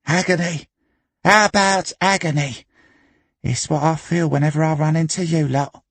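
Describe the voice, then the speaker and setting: A man's voice: fairly high pitch, steady tone, moderate pace, a bit bright, no room sound, voice pushed up. A man voicing a grumbling, sardonic pub charwoman in an audiobook, sour and exclamatory. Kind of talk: audiobook character acting.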